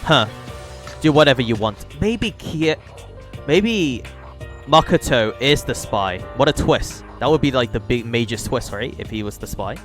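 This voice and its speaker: A young man streaming live, chatting with his viewers, thanking them and laughing off his own jokes. His voice sits at a middle pitch, casual and friendly in tone. Faint music plays quietly behind him. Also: lively intonation, unhurried. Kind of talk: live-stream gaming chat and reading aloud.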